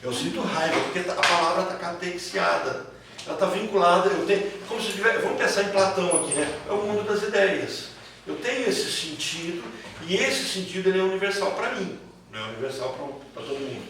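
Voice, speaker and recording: Brazilian male teacher, fairly high voice, informal didactic lecture, repetitive phrasing, distant echoey recording. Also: animated, a little fast. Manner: didactic, repeats phrases and checks understanding with tag questions. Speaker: teacher of a psychoanalysis class. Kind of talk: informal lecture with questions from students. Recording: echoey room, far mic, clear background noise.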